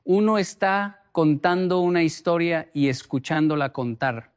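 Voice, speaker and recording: Higher-pitched man giving a deliberate motivational talk. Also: clear, resonant tone. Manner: deliberate, emphatic, repeats phrases for effect. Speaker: motivational speaker.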